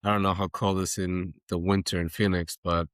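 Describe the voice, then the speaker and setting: A man's voice: sits low, little pitch movement, moderate pace, no echo, clean studio sound. A man hosting a podcast interview, conversational and reflective in an informal way. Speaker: podcast host, military veteran.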